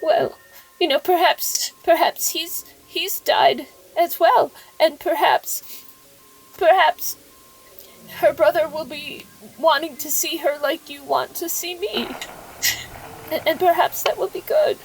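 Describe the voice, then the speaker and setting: A woman playing an anxious, devout widow in an audio drama, halting and repeating herself, with formal, old-fashioned phrasing. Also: extremely high pitch, gravelly, faint music behind, exaggerated voice, low-quality recording. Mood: hesitant, pleading. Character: anxious, devout widow, overwhelmed, speaking to her dead husband's likeness. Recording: faint background noise.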